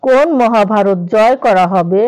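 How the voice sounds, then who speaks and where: A woman playing a sharp-tongued, sarcastic village wife scolding her old husband in a cartoon. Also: medium pitch, unhurried, smooth, lightly acted. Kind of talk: cartoon voice acting.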